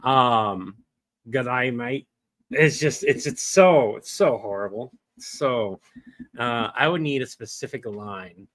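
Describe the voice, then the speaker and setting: Young man, livestream host, rambling chat, dry close sound, average pitch. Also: slow, a bit bright, no echo. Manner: rambling, talks to chat, frequent fillers. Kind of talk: casual live-stream chat. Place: small wood-paneled room with posters.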